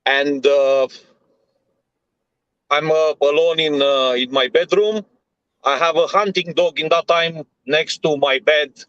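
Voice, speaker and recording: A man's voice: on the high side, slow pace, long pauses, a bit bright, clear, resonant tone, dry recording, highs cut off. A man from Romania with a Romanian accent, speaking English as his second language on a video call, telling his story hesitantly and searching for words. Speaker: guest. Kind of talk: halting personal story on a livestream.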